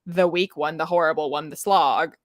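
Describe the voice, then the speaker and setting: A woman's voice: deep voice, steady tone, moderate pace, no echo, clean studio sound. A woman hosting a casual book discussion, opinionated and sweary, peppering her talk with "like" and acting out imagined reactions. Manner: frequent "like", sweary, acts out imagined reactions in quotes. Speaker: host of a book readalong discussion. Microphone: close microphone on a live video chat.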